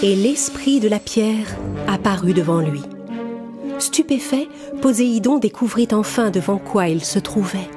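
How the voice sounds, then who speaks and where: Lady reading a kids cartoon story, steady voice, music loud behind. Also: mid-range voice, a little slow. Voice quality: clear, even storytelling. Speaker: narrator. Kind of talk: scripted storybook narration.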